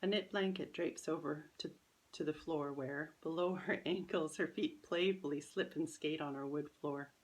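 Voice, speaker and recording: A woman's voice: sits low, fairly even intonation, moderate pace. A woman reading a children's story aloud as its author, in scripted first-person narration.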